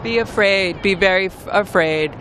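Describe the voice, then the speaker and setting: A woman in her forties from Maine, a restaurant server speaking bluntly and forcefully in a street interview at a protest march. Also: mid-range voice, moderate pace. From Maine, USA. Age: 40s. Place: outdoor city street march. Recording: loud street noise outdoors.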